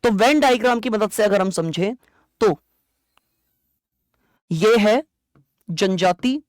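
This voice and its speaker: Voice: mid-range voice, animated, takes it slowly, no echo, clean studio sound. An exam-prep teacher explains conversationally in a lecture style, asking questions and answering them, repeating key points and checking understanding.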